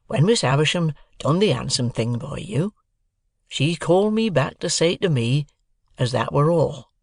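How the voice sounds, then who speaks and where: A man voicing a slow, kindly rustic blacksmith who speaks deliberately in country dialect. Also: on the high side, expressive, vocal fry, no room sound, studio quality, full character voice.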